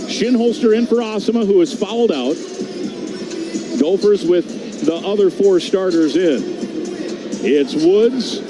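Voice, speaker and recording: A man giving live radio-style basketball play-by-play as a commentator, with noisy arena ambience behind him. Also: sits high. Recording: clear background noise.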